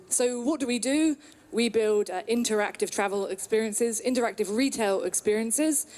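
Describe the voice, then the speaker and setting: British woman presenter, fairly high voice, upbeat, explanatory, conference talk. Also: a little mellow. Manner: upbeat, explanatory, with filler pauses. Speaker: conference speaker.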